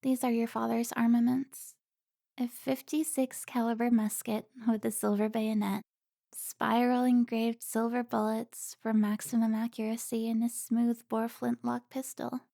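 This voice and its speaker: A woman plays a stern female vampire hunter from an audio drama. Her voice is on the high side with a gravelly creak, recorded dry and close. She is protective, commanding and quick to scold. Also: little pitch movement, dark tone, no echo. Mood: solemn. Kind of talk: cinematic audio roleplay acting.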